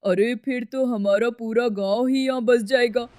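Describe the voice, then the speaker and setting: A woman's voice: a little high, fairly even intonation, a little slow, a little mellow, faint music behind, natural voice. A woman voicing a determined village daughter-in-law who rallies others with urgency.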